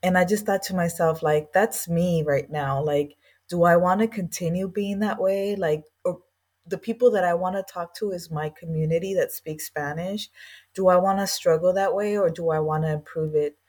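A woman with a somewhat low voice sits as a podcast guest and talks through her own story. She speaks in a casual, earnest and reflective way, with loose and chatty phrasing. She grew up in East Los Angeles. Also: fairly even intonation, moderate pace, a little mellow. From East Los Angeles, USA. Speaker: guest, language school founder.

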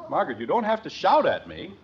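A stubborn 1950s radio sitcom father with a high voice. He blusters and speechifies with hugely animated pitch. The old broadcast is muffled and slightly noisy. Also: fast talker. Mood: flustered. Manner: pompous, speechifying. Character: stubborn, blustering, flustered suburban husband and father.

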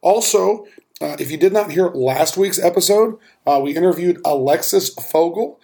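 A man co-hosting a podcast in a casual, jokey manner, his voice slightly hoarse. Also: on the high side, moderate pace, darkish tone. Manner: jokey, playful. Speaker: podcast co-host. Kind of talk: casual chat.

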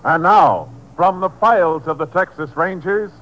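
A man's voice: on the high side, expressive, normal speed, lo-fi sound, very noisy. A man with an American accent formally introducing a scripted 1950s radio drama, with dramatic emphasis. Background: loud music.

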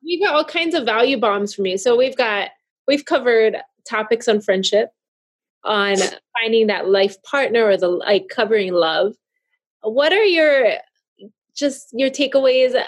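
A woman's voice: on the high side, lively intonation, unhurried. A woman hosting a podcast, in bubbly, giggly, rambling chat. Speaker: podcast host. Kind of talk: casual interview chat.

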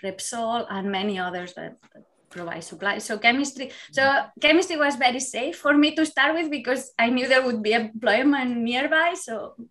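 A woman, a lecturer talking candidly as a podcast guest, reflective and quick to laugh. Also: a little high, fairly bright tone. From Spain. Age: 30s. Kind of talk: casual interview chat.

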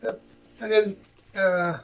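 Older Guyanese man, slightly high voice. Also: fairly even intonation, takes it slowly, vocal fry, fairly bright tone, rough recording. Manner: laughs often while telling stories. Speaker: guest, host's father. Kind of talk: storytelling in casual chat.

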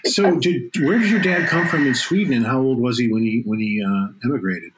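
A man's voice: medium pitch, fairly quick, noisy background. A man from the Chicago area interviewing a guest, reacting eagerly and often restarting his sentences. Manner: warm enthusiastic reactions, frequent restarts. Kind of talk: casual conversational interview.

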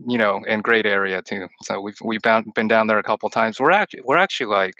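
Long-winded Texas-born man, bright voice. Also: on the low side, fairly quick, no room sound, muffled recording. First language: Chinese. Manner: long-winded, rambling, with many restarts. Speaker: podcast guest, returned missionary. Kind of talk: casual chat over a call. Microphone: call-in line.

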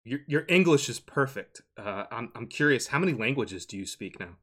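A man with a mid-range voice hosts a casual podcast. He is upbeat and answers his guest with quick affirmations and short reactions. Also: medium pitch, expressive, a bit bright, breathy edge. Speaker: podcast host. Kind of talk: casual chat.

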